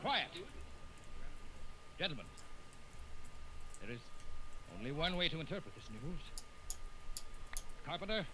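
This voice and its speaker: A man playing a military commander in a 1950s science-fiction radio drama, giving clipped, authoritative orders. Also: a little high. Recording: noisy old radio recording with clicks.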